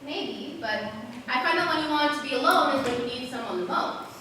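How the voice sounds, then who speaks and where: Distant echoing stage, woman as curious girl, wry comforter, high voice, school play acting. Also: animated, a little fast, pushed and bright. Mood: friendly, probing. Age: teens or young adult. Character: curious, wry, searching girl who comforts forest visitors. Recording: echoey stage from far off, noisy.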